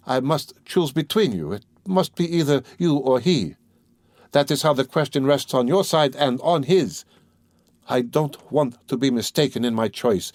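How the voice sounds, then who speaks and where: A man's voice: mid-range voice, dry recording, clean studio sound, voice pushed up, close to an everyday voice. A man reading aloud, voicing an earnest, spirited young woman who pleads with feeling. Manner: impassioned, pleading.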